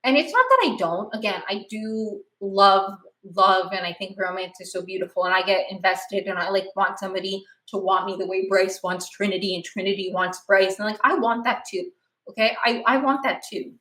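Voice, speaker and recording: A woman in her late twenties, hosting a podcast in a rambling, animated chat full of restarts and fillers. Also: mid-range voice, normal speed, reverberant, low-quality recording. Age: 20s. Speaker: podcast host. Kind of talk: casual chat.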